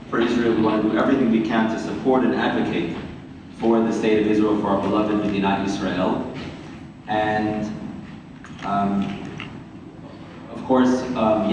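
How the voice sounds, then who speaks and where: A man with a Queens, New York accent introducing speakers at a community event as a rabbi, in somewhat halting, repetitive phrasing. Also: lowish voice. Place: large reverberant room, community event. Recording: very echoey hall, distant mic, faint noise.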